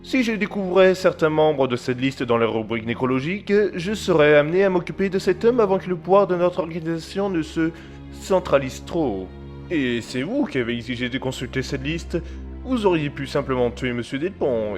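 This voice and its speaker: Cold, formal crime boss voiced by a man, lively pitch, condescending, music underneath. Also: normal speed, lightly acted. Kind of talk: amateur audio drama.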